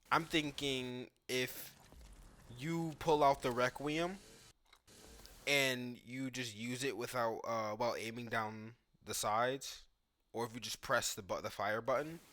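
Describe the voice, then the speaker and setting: Forceful-voiced man doing casual gameplay commentary. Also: mid-range voice. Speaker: video game commentator.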